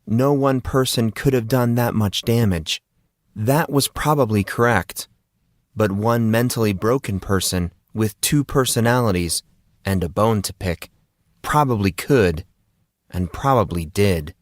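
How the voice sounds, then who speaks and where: A man's voice: on the low side, dry recording. Dry-sounding male audiobook narrator. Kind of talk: scripted fiction narration.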